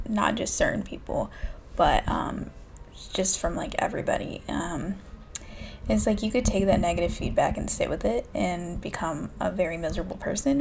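A woman in her twenties hosting a solo podcast, talking low and hushed in a candid, profane casual chat from a room in a small house. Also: gravelly, slight background noise. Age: 20s. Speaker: podcast host. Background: faint classical music.

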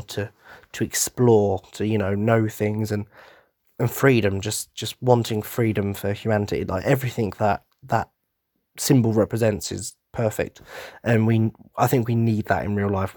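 A man hosting a casual fan podcast, tired and losing his voice, husky, rambling with lots of ums and restarts. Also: fairly low pitch, a little mellow, dry recording, clean studio sound. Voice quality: husky, throat-clearing. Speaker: podcast host.

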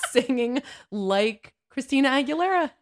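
A woman's voice: sits high, big pitch swings, takes it slowly, a little hiss or hum. A woman co-hosting a casual chat with a friend, telling teasing anecdotes and acting out the quotes. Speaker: podcast co-host.

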